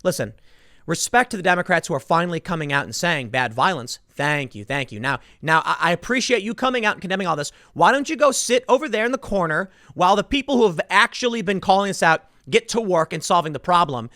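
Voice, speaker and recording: A man's voice: high-pitched, lively intonation, fast talker, sharp tone, dry recording, studio quality. A man giving impassioned solo political commentary as a host, emphatic and indignant, repeating phrases for stress.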